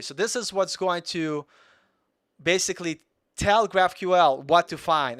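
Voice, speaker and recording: A man's voice: fairly high pitch, fairly bright tone, clear, resonant tone, no room sound. Chatty young man walking through code. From Russia. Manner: chatty, rambling, self-deprecating. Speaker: coding tutor. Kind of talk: casual live coding walkthrough.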